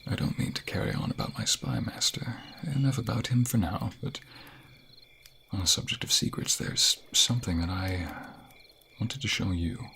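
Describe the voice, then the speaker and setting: Guy doing a loving king asmr roleplay, soft breathy murmurs n whispers, crackly fry, crickets faintly behind. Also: fairly low pitch, animated, moderate pace, full character voice. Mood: intimate, solemn. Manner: tender, hushed, breathy murmuring, often whispering. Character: affectionate, flirtatious fantasy king speaking secretly to lover. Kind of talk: intimate ASMR romantic roleplay.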